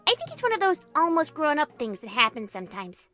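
A woman voicing a giggly, excitable little girl who tells a storybook tale of her play with childlike glee. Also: high voice, a little fast, faint music behind, pitched above the performer's own voice, full character voice. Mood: gleeful, fond. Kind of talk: storybook narration in character.

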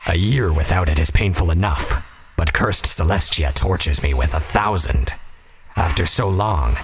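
Giant wolf king for a fantasy story: bottomless, husky growl, obsessive, menacing, regal and deliberate. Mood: brooding, yearning. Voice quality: deep, rasping growl. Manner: slow, imperious, formal speech.

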